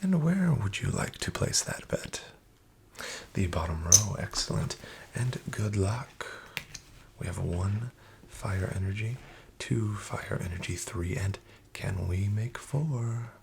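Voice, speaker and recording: A man in a soft-spoken roleplay as a smooth, flattering casino dealer, often whispering and slyly nudging the listener to bet more. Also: lowish voice, slow pace, slight background noise. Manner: whispery, with much unvoiced soft speech. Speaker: ASMR roleplay creator playing a casino dealer.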